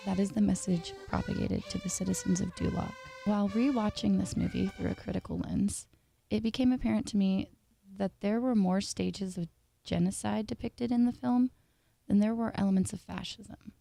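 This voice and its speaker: A woman reading a scripted analytical video essay in a measured, formal way. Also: mid-range voice, creaky, dark tone.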